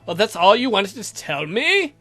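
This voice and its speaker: A woman voicing a stern male count in a visual novel, measured, imperious and coolly probing. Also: medium pitch, very animated, a bit bright, faint music behind. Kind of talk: visual novel read aloud in character.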